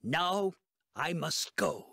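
An actor playing a jolly, bookish monster in a game cutscene, with a theatrical, grandiloquent manner. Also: male voice, a little high, sing-song, very slow, vocal fry, no room sound, clean studio sound, full character voice. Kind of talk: animated game cutscene acting. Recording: loud music underneath. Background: music.

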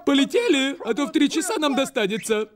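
A cheeky little cartoon bird sidekick, shrill and jokey, his very high voice bouncing all over the place. He is excitable and never still. Loud cartoon music plays underneath him. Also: fairly quick. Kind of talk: dubbed cartoon voice acting.

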